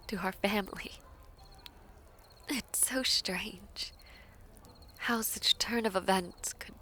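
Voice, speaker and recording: A woman playing a devoted, teasing princess in a romantic audio roleplay, speaking formally and coyly with breathy, whispery softness. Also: medium pitch, a little hiss or hum. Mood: moved, loving. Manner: slow, formal, coy, often dropping to breathy whispers.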